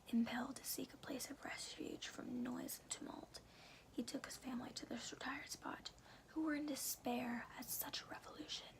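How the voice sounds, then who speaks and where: A woman's voice: high-pitched, poor audio. Whispering woman reading an ASMR story. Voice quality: soft, breathy whisper. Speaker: storyteller reading a book chapter aloud.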